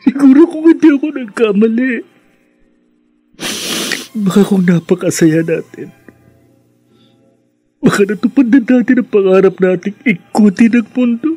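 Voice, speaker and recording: Husky, remorseful jailed husband. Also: male voice, high-pitched, lively intonation, a little slow, pauses often, mellow, dry recording, exaggerated voice. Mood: anguished, remorseful. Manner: emotional, pleading, repeats phrases. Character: remorseful jailed husband and father, ashamed, grieving. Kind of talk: Filipino radio drama acting. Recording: music behind the voice.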